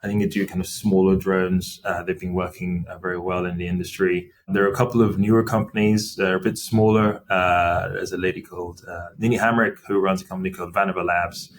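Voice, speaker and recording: A middle-aged man, a company finance chief, answering interview questions in a measured, explanatory way with frequent fillers. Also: deep voice, little pitch movement. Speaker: company CFO as podcast guest. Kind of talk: interview conversation.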